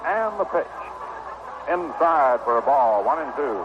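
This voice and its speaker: An American man calling baseball play-by-play on 1960s radio in a measured, descriptive way with pauses. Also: medium pitch, very animated. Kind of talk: live 1960 radio sports commentary. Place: ballpark broadcast booth. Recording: noisy muffled vintage 1960 radio broadcast.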